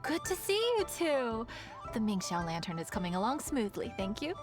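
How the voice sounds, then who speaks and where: A woman's voice: on the high side, sing-song, moderate pace. A woman playing a diligent, friendly young festival organizer in a video game, polite and articulate. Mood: pleased, polite. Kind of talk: video game dub acting. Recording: music behind the voice.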